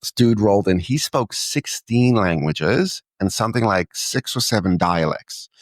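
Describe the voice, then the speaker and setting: A man's voice: fairly low pitch, expressive, a little slow, no room sound. A man with a South African accent hosting a podcast interview, chatty and loose, with many fillers and restarts. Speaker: podcast host. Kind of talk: casual interview chat.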